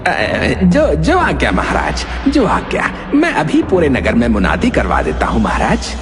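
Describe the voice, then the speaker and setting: A man's voice: on the high side, huge pitch swings, moderate pace, music in the background. A man voicing a royal minister who answers the king deferentially. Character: obedient male royal minister.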